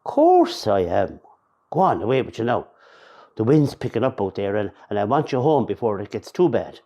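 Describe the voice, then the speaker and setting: A man's voice: lowish voice, huge pitch swings, moderate pace, dark tone. Actor as grumpy, grieving older Irish father. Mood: gruff affection. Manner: gruff, sardonic, sweary. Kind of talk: radio play acting.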